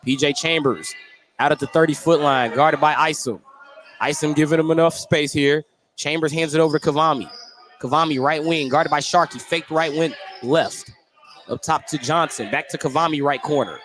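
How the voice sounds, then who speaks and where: An American man doing live play-by-play basketball commentary for radio, getting excited on big plays. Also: fairly high pitch, moderate pace. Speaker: play-by-play sports announcer. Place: indoor basketball gym. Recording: noisy live gym broadcast. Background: loud gym ambience.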